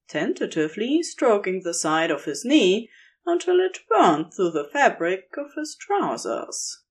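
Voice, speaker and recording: A woman narrator reads romantic fiction at a very slow, measured pace. Her voice sits at a medium pitch and moves with very lively, expressive intonation.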